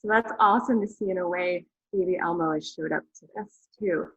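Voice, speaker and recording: Husky woman on a dull video call. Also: fairly low pitch, brisk, no echo. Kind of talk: webinar presentation over video call. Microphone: video call mic.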